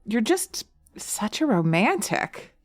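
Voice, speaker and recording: A woman voicing a sharp, practical witch in a roleplay audio drama, teasing and theatrical with a hint of menace. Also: medium pitch, sing-song. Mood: exasperated. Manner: theatrical, teasing, drawn-out words with pet names.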